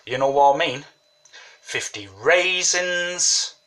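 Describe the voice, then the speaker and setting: Slow, chatty man with a cold. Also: fairly high pitch, bright, forceful tone. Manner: chatty, rambling, says "you know what I mean". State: has a cold, sniffling. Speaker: home brewing hobbyist. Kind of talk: casual how-to tutorial. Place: kitchen table, indoors.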